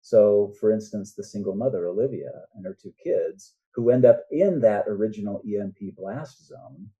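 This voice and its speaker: A man's voice: fairly low pitch, moderate pace, warm tone, dull audio. A man speaking as a guest author in a casual interview, earnest and reflective as he explains his thoughts.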